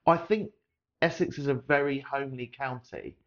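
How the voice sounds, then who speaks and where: A man from Surrey hosting a podcast, chatting casually in an animated, teasing way and swearing often. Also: medium pitch, normal speed, lo-fi sound. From Surrey, England. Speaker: podcast host. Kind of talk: casual chat.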